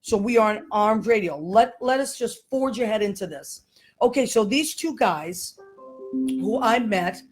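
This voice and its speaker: A woman's voice: mid-range voice, expressive, a little slow, faint music behind. A woman hosting a live online talk show, chatting with guests and greeting viewers as they join. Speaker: host. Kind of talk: casual live interview chat.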